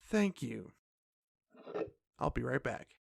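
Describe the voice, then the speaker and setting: A woman playing a protective, cautious fey sibling in an audio drama, earnest and gently stern. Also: extremely low pitch, sing-song, slow pace, gravelly, dry recording, clean studio sound, full character voice. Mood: wryly stern.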